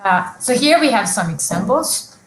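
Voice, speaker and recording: A woman's voice: on the high side, very animated, moderate pace, a bit bright, roomy sound, slight background noise, poor audio. A woman presenting research at an academic conference, explaining examples step by step with frequent fillers and restarts over a video call.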